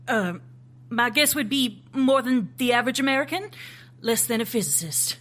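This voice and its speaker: An actress playing a composed, sharp-witted female agent in an audio drama, asking direct, dry questions in a slightly raspy voice. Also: on the high side, expressive, moderate pace.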